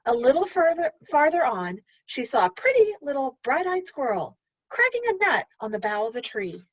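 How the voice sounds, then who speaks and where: Female primary teacher for storybook read-aloud, lively swooping delivery, instructive, on a dull, dry lo-fi recording. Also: high voice, normal speed, no echo. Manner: expressive, instructive, addressing children directly. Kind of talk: read-aloud lesson to young learners, storybook narration.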